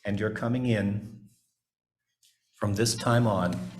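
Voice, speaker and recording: A man's voice: on the low side, fairly even intonation, slow pace, a little mellow. A man reading Bible passages aloud as a scripture reader in a church service.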